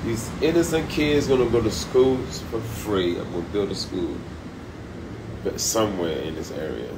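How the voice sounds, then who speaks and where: A man livestreaming from a high-rise room by the beach on a phone at arm's length, talking loud, profane and animated. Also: moderate pace, noisy background. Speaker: comedian livestreaming. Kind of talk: casual live stream chat. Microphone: phone held at arm's length.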